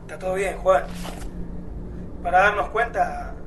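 A man's voice: sits high, measured pace, distant mic, background noise. A man playing a weary, plain-spoken resistance companion in a radio drama, with an Argentine Spanish accent. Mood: weary, earnest.

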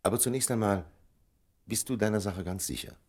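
An urbane older confidant in a radio drama. His voice is low and husky, his tone dry and gently ironic, with lively turns of intonation. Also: a little fast. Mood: dry, probing. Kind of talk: German radio play acting.

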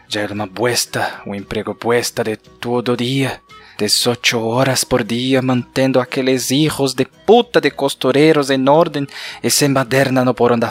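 A man's voice: medium pitch, lightly acted. An actor playing a short, insecure, embittered Bolivian man in an audio drama, speaking Portuguese with a Spanish accent and a self-pitying manner. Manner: self-pitying inner monologue mixing in Spanish words. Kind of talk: audio drama character acting. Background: music bed.

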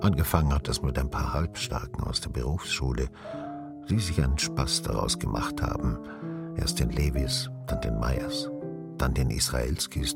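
An actor playing a stern, world-weary small-town official, measured and deliberate, in a German radio play. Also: male voice, a bass voice, creaky, heavily acted. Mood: grave, measured.

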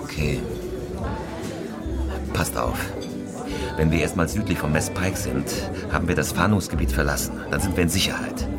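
Deep, gravelly, terse career robber. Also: male voice, moderate pace, long pauses. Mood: curt. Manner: terse, controlled, businesslike. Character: Parker, cool, hard-nosed professional male robber. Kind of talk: German radio crime drama acting. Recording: loud music bed.

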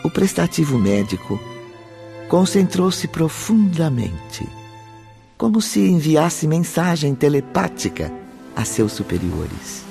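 Male radio drama narrator: slow, mellow, widely inflected, solemn, soft music underneath. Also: a little high, sing-song, gravelly. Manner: measured, solemn, emphatic. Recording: faint music behind. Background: soft background music.

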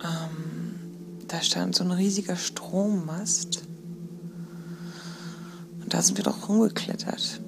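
Very creaky woman on a noisy recording. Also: lowish voice, fairly even intonation. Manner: halting, informal, thinking aloud. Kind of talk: casual spoken audio diary, recounting dreams. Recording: noisy informal recording with ambient sound.

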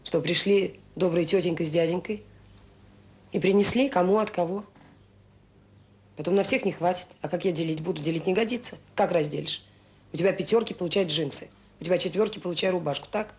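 An actress playing a kind but firm middle-aged director of a children's home in a radio drama, speaking briskly and composedly. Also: female voice, fairly low pitch, a little mellow, lightly acted. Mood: firm refusal. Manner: brisk, composed, reasoning with rhetorical questions. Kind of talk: Soviet radio drama acting.

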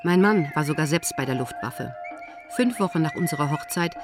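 A man's voice: on the high side, animated, normal speed, over music. A man reading a translated voice-over of witness testimony for a radio documentary. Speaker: German voice-over translator of interviewees.

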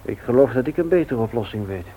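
Earnest worried son, dull old recording. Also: male voice, medium pitch, expressive, quick pace, breathy edge. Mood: concerned. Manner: earnest, polite. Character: worried, considerate adult son and father. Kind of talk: old radio play acting. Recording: dull, faintly noisy old radio recording.

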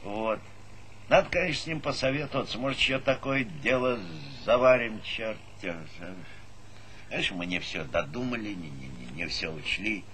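A man's voice: fairly low pitch, lively intonation, normal speed, sharp tone. An actor playing a blunt, harried middle-aged farm chairman who grumbles and thinks aloud in a Soviet radio play. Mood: pondering. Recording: noisy 1970s radio drama recording.

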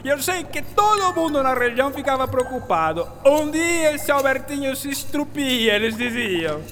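A man's voice: very high-pitched, big pitch swings, moderate pace, fairly bright tone, long reverb tail, heavily acted. A man playing an eccentric, fond and boastful Italian inventor with an Italian accent, telling stories theatrically in a radio drama. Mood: animated storytelling. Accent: put-on Italian. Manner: theatrical storytelling peppered with Italian words.

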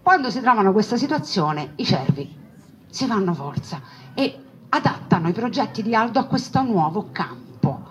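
A woman telling a story as a theatrical monologue, conversational and emphatic, with deliberate repetitions and asides to her listeners. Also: mid-range voice, very animated. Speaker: storyteller, stage narrator. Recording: dull lo-fi recording with clear background noise.

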